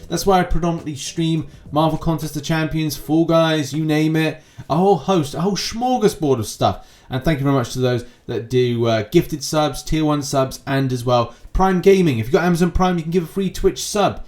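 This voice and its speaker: A man's voice: on the high side, fairly quick, darkish tone. A man giving casual, rambling gaming news commentary as a channel host, with chatty asides.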